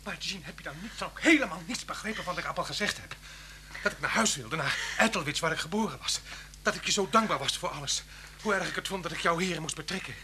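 An actor playing a resigned, remorseful wanted murderer in an emotional radio drama, halting and repeating his words. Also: a little high, lightly acted. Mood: anguished. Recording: faintly noisy old radio recording with hum.

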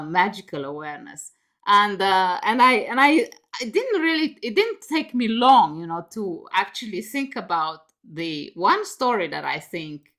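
A woman's voice: mid-range voice, bright, forceful tone. A woman, a guest on a video call, talking animatedly with lots of laughter and "you know". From Romania. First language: Romanian. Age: 50s. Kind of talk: casual video call interview. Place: home room with bookshelves.

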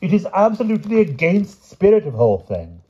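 A man's voice: on the high side, expressive, takes it slowly, mellow, pitched above the performer's own voice. A man voicing an exacting, exasperated foreign knight who tutors poetry in formal, stilted phrases with dropped articles, in a storybook reading. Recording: dull, muffled lo-fi audio.

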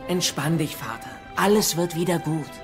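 An actor as a young Viking dragon rider, earnest and caring, with a high male voice. It is audio drama acting with music underneath. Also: moderate pace, close to an everyday voice. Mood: caring, relieved.

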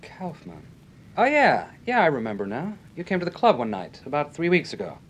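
An actor playing a brash, tough-talking nightclub owner in an old radio detective serial, blunt and slangy. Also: male voice, wildly swooping pitch, brisk. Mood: casual. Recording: vintage radio recording with rumbling background noise.

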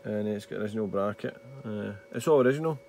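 A man's voice: fairly low pitch, fast talker, gravelly, a little mellow. A man chatting casually and a little hesitantly, unscripted, as he demonstrates radio equipment as a hobbyist. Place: small indoor radio desk. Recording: very noisy, radio static and hiss. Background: CB radio noise.

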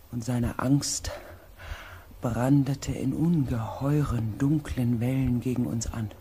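Hushed, uneasy expedition pilot. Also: female voice, extremely low pitch, a little hiss or hum. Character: anxious, weary expedition pilot recounting events, far future. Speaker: narrator. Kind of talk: dramatic first-person audio drama narration.

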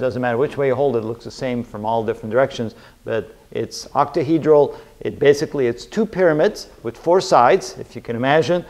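A man's voice: medium pitch, creaky, a little mellow. Middle-aged man giving a step-by-step lecture. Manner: explanatory, step by step, repeats phrases. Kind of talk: chalk-talk chemistry lecture at a whiteboard. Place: indoor room with a whiteboard.

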